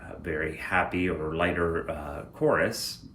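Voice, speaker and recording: A man with a very low voice gives an informal, explanatory talk as a church musician. He feels his way through phrases with hesitant, thoughtful pauses. The recording is echoey, with clear noise behind him. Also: a bit bright. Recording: roomy echo, clear background noise.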